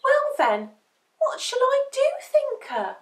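Woman voicing an eager, high-pitched little puppy girl. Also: slow pace, roomy sound, distant mic, exaggerated voice. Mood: worried. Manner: excitable, pleading, exclaiming. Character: small young female dachshund puppy, eager, wistful.

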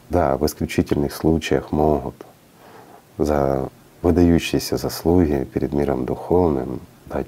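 A man's voice: rumbling low, mellow, husky. A middle-aged man in a studio, speaking earnestly and preaching with rhetorical questions. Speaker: spiritual speaker interviewed in studio. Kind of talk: reflective studio talk.

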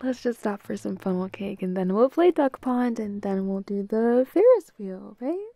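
A woman voicing a bubbly, playful, affectionate young girlfriend in an intimate audio roleplay, with a giggly, breathy, coaxing manner. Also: medium pitch, expressive, normal speed, mellow, dry recording, natural voice. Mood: excited coaxing.

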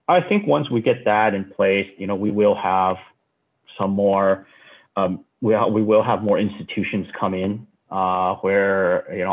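A man in his thirties talks from a room at home through earbuds on a video call. His voice sits low and comes through thin and phone-like. He speaks haltingly, with many fillers and restarts. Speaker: company founder giving a keynote. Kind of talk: casual unscripted talk. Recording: tinny phone-like call audio.

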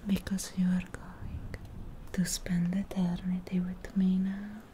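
Girl acting as a sly possessive witch, asmr roleplay, super slow breathy whisper, soft and dark, bit of background noise. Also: on the low side. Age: young adult.

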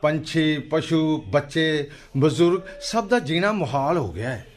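A man's voice: fairly high pitch, animated, measured pace. An actor playing an earnest, determined village man in a radio play, speaking rustic colloquial Punjabi-accented speech. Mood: somber, resigned. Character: grieving, determined village man urging action against smoke.

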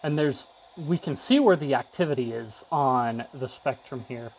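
A man's voice: medium pitch, moderate pace, slight background noise, rough recording. A man giving a casual, off-the-cuff tech demo, rambling a little and trailing off mid-thought. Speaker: tech video creator demonstrating a device.

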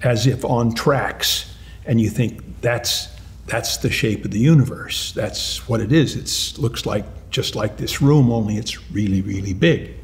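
Older man with a gravelly voice. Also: on the low side, measured pace, a little hiss or hum. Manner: animated, with frequent restarts and repeated words. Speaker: retired mathematics professor. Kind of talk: reflective interview answers. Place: lounge-like room with armchairs and lamps, indoors.

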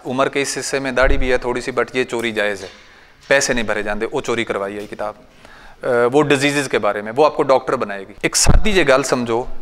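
A man's voice: mid-range voice, a bit bright, smooth. A man in his thirties from Pakistan, with a Punjabi accent, gives a casual, story-filled workshop talk on goat farming to a seated audience. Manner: conversational, anecdotal, recites couplets and quotes elders. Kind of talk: informal training talk. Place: large bright training hall with a seated audience. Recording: reverberant hall.

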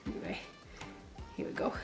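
A woman, a native German speaker, chatting casually through a home cooking demo while sniffly with a cold. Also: fairly quick. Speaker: home cook vlogger. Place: home kitchen, indoors. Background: background music.

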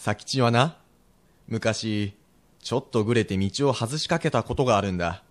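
A frank, steely merchant of old Edo, acted by a man for a period radio drama. His voice sits fairly low, and he speaks in a plain, rough townsman's way. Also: moderate pace, lightly acted. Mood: persuading.

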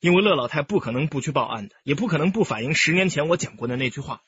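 A man's voice: fairly high pitch, lively intonation, fairly bright tone, highs cut off. An actor playing a composed, candid young male music teacher, speaking politely and carefully in a radio drama. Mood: calm, candid. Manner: polite, measured, explanatory.

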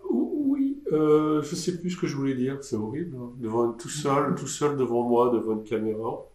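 A man's voice: mid-range voice, lively intonation, moderate pace, darkish tone. A man in his sixties, an artist answering questions in a live online session, hesitant and rambling with many restarts. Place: indoor room at a desk. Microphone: webcam on a desk. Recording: roomy webcam audio.

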